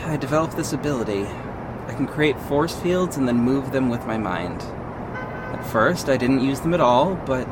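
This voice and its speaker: A man's voice: medium pitch, noisy background, close to an everyday voice. A man playing a confident vigilante detective who narrates his own story in an earnest, dramatic audio drama style. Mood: frank, explaining. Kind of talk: first-person audio drama narration with acted dialogue.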